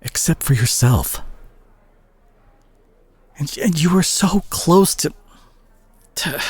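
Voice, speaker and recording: A low, very husky superhero played by a man, worried and exasperated with a reckless teammate. He speaks in halting, emotional bursts that swing widely in pitch, as in an intimate audio drama. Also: darkish tone, no room sound, exaggerated voice. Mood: pained, worried. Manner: emotional, halting, emphatic pauses. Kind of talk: scripted audio roleplay, dramatic monologue.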